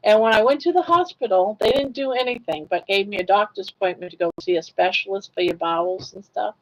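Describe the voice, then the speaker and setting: A woman's voice: mid-range voice, fairly quick, highs cut off. An older woman hosting a casual livestream, telling stories in a chatty way, laughing often and reacting to chat comments. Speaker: livestream host.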